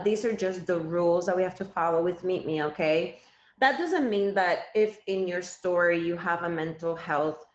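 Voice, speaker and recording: A woman in her thirties from Cuba, a native Spanish speaker, hosting a live stream and chatting directly with her viewers. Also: fairly low pitch. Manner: chatty, addresses viewers directly, thanks gifters. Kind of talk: casual live stream chat. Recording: roomy, slightly dull sound.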